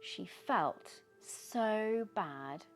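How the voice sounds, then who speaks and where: British woman, children's storyteller, very slow pace, lively swooping pitch, dramatic hushed moments, soft background music. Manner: dramatic, often dropping into hushed whispers, with asides to the listener. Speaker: storyteller reading a children's picture book aloud. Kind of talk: expressive bedtime story narration for children.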